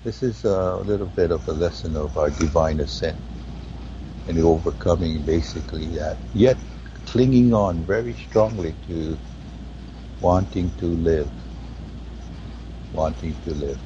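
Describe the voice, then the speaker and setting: A man with a Hawaiian accent talking in a spiritual conversation, slow and halting as he searches for words. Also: low-pitched, dark tone, dull audio, a little hiss or hum. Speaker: guest.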